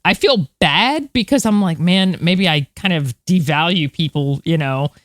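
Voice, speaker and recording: A woman's voice: lowish voice, expressive, measured pace, no room sound, clean studio sound. A woman, co-hosting a podcast in casual chat, telling stories informally with slangy asides. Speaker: podcast co-host.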